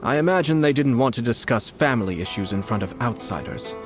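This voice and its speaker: An actor playing a composed young male noble commissioner who speaks in a calm, measured, courteous way in a game cutscene. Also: medium pitch, natural voice. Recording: dialogue over music score. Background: orchestral game music.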